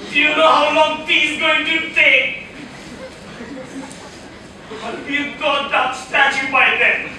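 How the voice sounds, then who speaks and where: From far off in a noisy, echoing auditorium comes a young male actor as a frantic, short-tempered husband. His very high voice, and he rants slowly and melodramatically, full of exasperated self-pity. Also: sharp tone, exaggerated voice. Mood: pained, self-pitying. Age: young adult. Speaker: student stage actor. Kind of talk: live theatrical stage acting. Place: auditorium stage.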